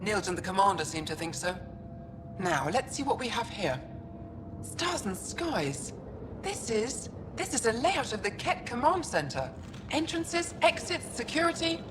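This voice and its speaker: An actress playing a brisk, practical alien mother and code-breaker in a video game, dry and businesslike. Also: medium pitch, noisy background. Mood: dry, relieved.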